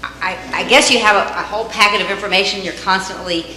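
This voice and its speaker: A woman in the audience with a loud voice. She asks a rambling question and searches for words. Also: medium pitch, fairly even intonation, quick pace. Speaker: audience member asking a question. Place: meeting room. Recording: echoey room recording.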